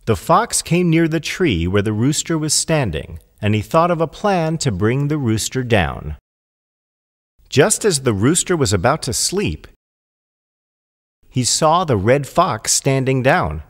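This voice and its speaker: A man's voice: medium pitch, big pitch swings, a little slow, in a large hall. A man narrating a children's fable as a scripted storyteller.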